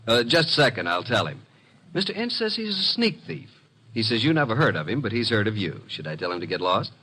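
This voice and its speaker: An actor playing a breezy, wry detective's assistant and narrator in slangy old radio drama style. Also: male voice, mid-range voice, very animated, brisk, sharp tone. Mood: brisk, wry. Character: brisk, wisecracking male detective's assistant and narrator. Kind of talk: 1940s-50s radio detective drama acting. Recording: noisy vintage radio recording.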